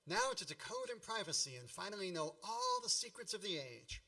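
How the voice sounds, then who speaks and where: Wry, bright-voiced storyteller. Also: male voice, sits high, wildly swooping pitch, a little fast, creaky, distant mic, exaggerated voice. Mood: eager. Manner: wry, melodramatic. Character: wry grown man narrating his boyhood memories with mock grandeur. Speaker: narrator. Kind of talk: storytelling radio play narration.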